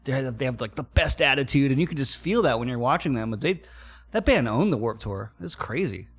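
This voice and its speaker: A man's voice: medium pitch, lively intonation, a little fast, a bit bright, slightly rough. Laid-back middle-aged man telling tour stories. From Florida. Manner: laid-back and storytelling, says "man" and "like" a lot. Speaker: guest musician. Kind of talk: casual interview chat.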